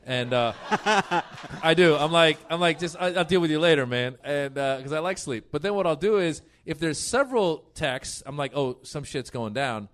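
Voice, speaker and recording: Man, fairly high voice, casual comic chat, panel guest, conversational delivery. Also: animated, moderate pace, fairly bright tone.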